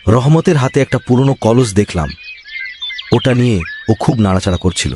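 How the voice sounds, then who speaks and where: Guy doing a timid cartoon lackey, yes-sir type, unsure of himself, average pitch, crickets and noise behind. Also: animated, brisk, lightly acted. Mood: secretive, reporting. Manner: deferential, hesitant. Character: timid, deferential henchman of a village boss. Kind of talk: cartoon voice acting.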